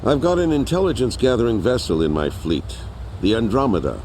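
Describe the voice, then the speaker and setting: Actor as a weary, expressive veteran carrier captain. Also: male voice, mid-range voice, a little fast, slight background noise, natural voice. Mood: weary, somber. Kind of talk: dubbed game cutscene drama.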